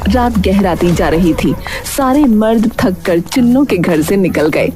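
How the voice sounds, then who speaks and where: A woman's voice: mid-range voice, lively intonation, measured pace. A woman narrating a cartoon moral tale as a storyteller, in a scripted style. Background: music.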